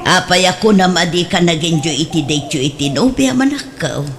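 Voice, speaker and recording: A woman's voice: fairly low pitch, lively intonation, moderate pace, faint music behind. An actress playing a doting, nosy mother of a grown son in an Ilocano comedy radio drama, with a Filipino Ilocano accent. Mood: puzzled, scolding.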